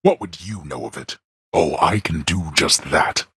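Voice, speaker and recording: A man voicing a stern, scornful god of the dead and imperious father in a video game, with a booming, gravelly voice and formal, archaic phrasing. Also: expressive, unhurried, bright, forceful tone, dry recording, clean studio sound, heavily acted. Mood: menacing, commanding. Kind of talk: video game character voice acting.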